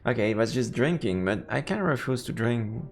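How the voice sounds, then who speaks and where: A male streamer with a gravelly, creaky voice and lively, swinging intonation. He reads game text aloud and chats informally between passages, tripping on words now and then, with music behind him. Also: mid-range voice, a little fast. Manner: informal, stumbling over read text. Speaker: let's play streamer. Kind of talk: live commentary, reading game text aloud.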